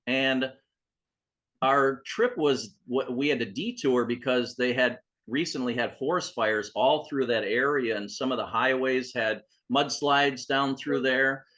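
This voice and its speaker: A man's voice: medium pitch, unhurried, fairly bright tone. A middle-aged man, a guest author, talks through long anecdotes in a chatty, rambling way on a casual interview call. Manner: chatty storyteller, rambling asides.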